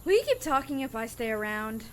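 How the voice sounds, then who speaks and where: A woman's voice: on the high side, very animated, brisk, a little hiss or hum. A woman playing a wry female robber in a comedic audio drama, dry and somewhat hesitant, wearily helpful. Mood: weary, obliging.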